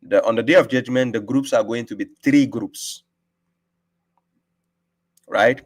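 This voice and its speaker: A man hosting a live stream, reading verses aloud slowly and explaining them. He often adds a questioning "right?". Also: mid-range voice. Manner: reads scripture aloud with slow emphasis and explains it; asks rhetorical "right?" often. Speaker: host and religious teacher.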